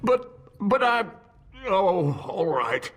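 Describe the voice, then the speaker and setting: An actor playing a cowardly, surly tavern keeper in a video game, grumbling defensively in a gravelly, husky voice. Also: male voice, high voice, big pitch swings, very slow, exaggerated voice. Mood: grudging. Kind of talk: scripted video game dialogue.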